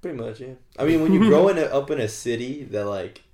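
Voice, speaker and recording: A man's voice: mid-range voice, expressive, fast talker, dark tone. A laid-back, joking man from Southern California, chatting casually with friends. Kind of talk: casual chat among friends. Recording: roomy with background noise.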